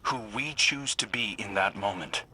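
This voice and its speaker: An actor playing a regretful, reassuring mentor in a video game, with a husky, plain-spoken, sincere delivery. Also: male voice, fairly low pitch, normal speed, sharp tone, a little hiss or hum. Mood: rueful, reassuring. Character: regretful, reassuring male mentor with a troubled past. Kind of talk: video game dialogue acting.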